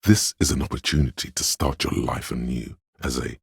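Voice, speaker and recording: An actor playing the grandiose, artsy inner voice of a detective's imagination in a video game, narrating in a dramatic, florid, deliberate way. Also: male voice, no echo, studio quality, heavily acted. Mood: pompous, musing. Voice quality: gravelly, husky bass. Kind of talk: theatrical, literary game narration.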